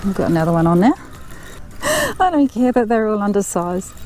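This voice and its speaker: A woman's voice: medium pitch, wildly swooping pitch, moderate pace, darkish tone, soft background music. A woman giving a cheerful, chatty running commentary while fishing from a sand dune by a river, talking to the fish as she catches them, recorded on a body-worn action camera. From Australia. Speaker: fishing vlogger.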